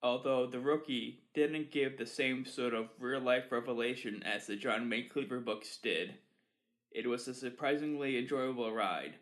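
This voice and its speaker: A man's voice: mid-range voice, fairly bright tone. A man hosting a podcast, reading a scripted review as narration.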